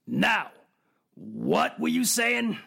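An actor playing a smug private detective who is a cold killer in a radio mystery drama, with a raspy, gravelly voice and a coolly sardonic manner. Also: medium pitch, sing-song, extremely slow, a bit bright, heavily acted. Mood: coolly menacing.

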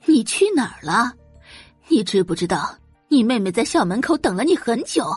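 Woman putting on an indignant, scornful middle-aged mother, swooping pitch. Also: very animated, normal speed, slightly rough, dry recording, faint music behind. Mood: angry scolding. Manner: indignant, huffing, ranting. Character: angry, scornful middle-aged adoptive mother, doting on her own daughter.

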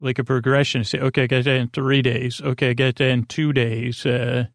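A man hosting a sleep podcast with a meandering, goofy, halting monologue that drifts into tangents. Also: medium pitch, level tone, gravelly, dry recording, clean studio sound. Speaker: podcast host. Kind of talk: rambling bedtime monologue introducing a sleep podcast.

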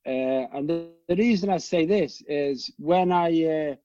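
A man with a Liverpool accent, a guest telling animated, rambling stories and swearing freely. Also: fairly high pitch, unhurried, no room sound, lo-fi sound, soft background music. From England. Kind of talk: casual storytelling chat.

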